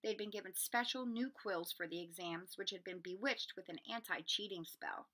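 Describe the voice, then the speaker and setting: A woman reading a children's novel aloud as an expressive storyteller. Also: mid-range voice, normal speed. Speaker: storybook reader.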